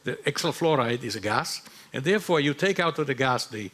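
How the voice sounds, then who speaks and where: Halting man explaining physics on a panel. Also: medium pitch, expressive, a bit bright. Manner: halting, repeats words, non-native phrasing. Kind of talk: technical panel answer. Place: conference hall.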